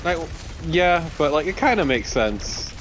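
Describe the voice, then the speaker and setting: A man's voice: a little high, very animated, unhurried, a bit bright. Man bantering over noisy gameplay. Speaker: let's play co-host. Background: video game sound.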